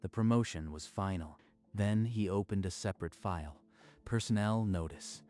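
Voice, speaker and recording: A man narrating a scripted story in a measured, even manner. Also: low voice.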